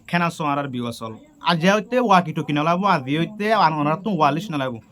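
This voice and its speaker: A man's voice: fairly high pitch, fairly bright tone. A man with a Rohingya-Chittagonian accent giving an informal how-to explanation for social media, going step by step and repeating himself. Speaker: tech tutorial video creator.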